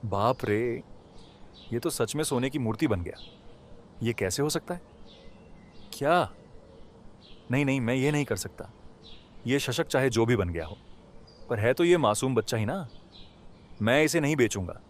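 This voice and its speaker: A man's voice: medium pitch, lively intonation, unhurried, pauses often, slight background noise, natural voice. A man playing a tender-hearted poor villager in a cartoon moral story, with exclamatory, rustic colloquial speech. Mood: astonished, then firm. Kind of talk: animated moral story acting.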